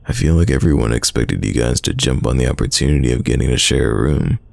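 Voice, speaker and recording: Man acting a very deep, gravelly, secretly smitten young guy. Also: a little mellow, no echo, heavily acted. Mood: teasing, casual. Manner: casual, conversational, halting, teasing. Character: young man, best friend's sibling, secretly smitten, teasing and guarded. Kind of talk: roleplay audio drama, intimate casual chat.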